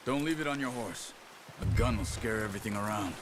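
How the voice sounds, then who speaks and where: A man playing a calm, experienced western hunter in a video game, terse and patient as he instructs. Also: lowish voice, brisk, noisy background, close to an everyday voice. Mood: hushed, focused. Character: calm, experienced male hunter and tracker in the wild west. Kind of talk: video game cutscene acting.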